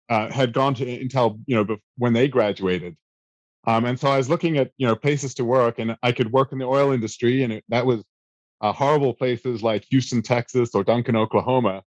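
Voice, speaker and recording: Relaxed man telling stories, dry room. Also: mid-range voice, no echo, poor audio. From South Africa. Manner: relaxed, self-deprecating storyteller with many fillers. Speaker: guest. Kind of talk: casual interview answers.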